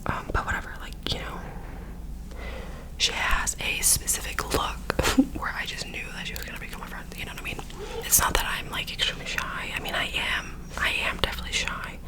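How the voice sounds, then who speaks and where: A young woman whispering a rambling story into a close microphone while doing her makeup, often correcting herself as she goes. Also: low-quality recording. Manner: rambling, self-correcting, filler-heavy storytelling. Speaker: ASMR creator.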